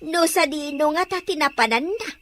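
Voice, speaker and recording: A woman's voice: high voice, normal speed, lightly acted. A young woman playing a teasing household helper in an audio drama, playful and drawling. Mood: teasing, gossipy. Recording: faint noise, night crickets and clicks.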